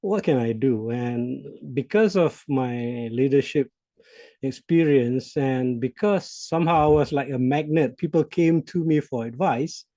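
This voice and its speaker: A man in his sixties from Malaysia, a Malay speaker, speaking reflectively as an interview guest, with hesitations and soft laughs. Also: medium pitch, unhurried, dry recording. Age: 60s. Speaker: CEO coach guest. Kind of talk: interview conversation.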